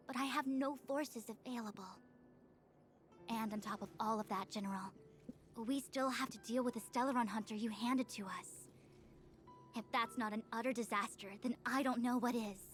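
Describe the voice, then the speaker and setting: A woman's voice: a little high, fairly even intonation, faint music behind, lightly acted. Woman voicing a stern weary seer. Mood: exasperated. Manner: measured, formal, dryly exasperated. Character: calm stern female seer, formal and weary. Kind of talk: game cutscene voice acting.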